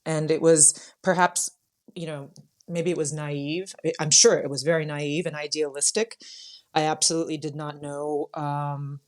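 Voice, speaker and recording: An American woman guest speaking earnestly and thoughtfully in an interview, pausing with fillers. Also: deep voice, level tone. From United States. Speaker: guest, lawyer and founder. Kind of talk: casual interview answers.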